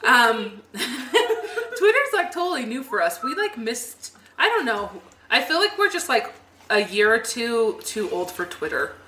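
A young woman co-hosting a casual podcast chat, bubbly and giggly with quick asides. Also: fairly high pitch, sing-song, sharp tone, a little hiss or hum. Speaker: podcast co-host. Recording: roomy echo with faint ambience.

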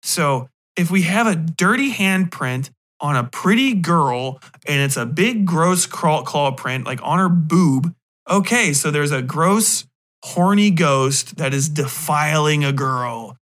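A man's voice: a little high, animated, slow, a bit bright. Exasperated man ranting casually. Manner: sarcastic, exasperated, emphatic, swearing freely. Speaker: podcast host. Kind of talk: casual profane movie rant.

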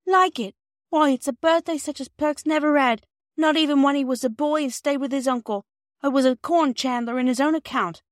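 Woman doing a gushy working-class wife voice, high and loud, dry close sound. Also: lively intonation, a little fast, no room sound. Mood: gushing. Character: working-class older woman, emotional, warm.

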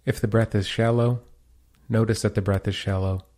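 A man leading a guided meditation as a teacher, giving calm, soothing instructions. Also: fairly low pitch, normal speed.

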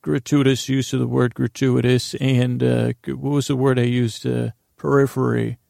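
A man hosting a sleep podcast, rambling through a halting bedtime monologue full of restarts and drawn-out pauses. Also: mid-range voice, little pitch movement, creaky, darkish tone, dry recording, studio quality.

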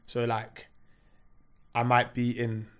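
A man's voice: medium pitch, monotone, slow, smooth. A young man, chatting in a laid-back, slangy way as a podcast guest. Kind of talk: casual chat.

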